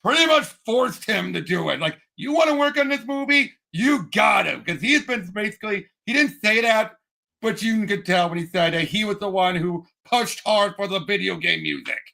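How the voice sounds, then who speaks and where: A man's voice: sits high, lively intonation, moderate pace, vocal fry, bright, forceful tone. A man talking about movies as a podcast panelist, emphatic and eagerly repeating himself. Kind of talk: casual movie discussion.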